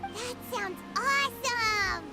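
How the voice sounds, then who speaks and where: A woman voicing a small, perky, childlike fairy companion in a video game, with a squeaky voice. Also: slow, full character voice. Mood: amazed. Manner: perky, refers to self by name. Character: small childlike floating fairy companion, excitable, talks in third person. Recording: loud music behind the voice.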